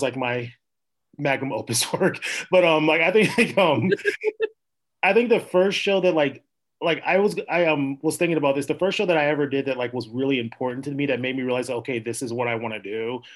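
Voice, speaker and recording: A man's voice: mid-range voice, fairly quick. A young man from Minnesota, an actor and playwright, chatting as a podcast guest in a rambling way with many fillers and laughs. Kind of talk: casual interview chat.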